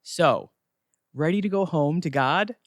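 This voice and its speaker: An actor playing a breezy, wisecracking attendant who checks souls into the afterlife in an audio theatre comedy, chatty and flippant. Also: male voice, a little high, measured pace, dry recording, clean studio sound, natural voice. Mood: joking.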